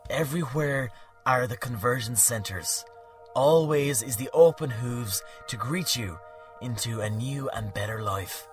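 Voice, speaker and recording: A man voicing a gentle, stately goddess queen in an audiobook reading, soft and measured. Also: mid-range voice, soft background music, natural voice. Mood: gentle, formal. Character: gentle regal goddess queen, female, ageless.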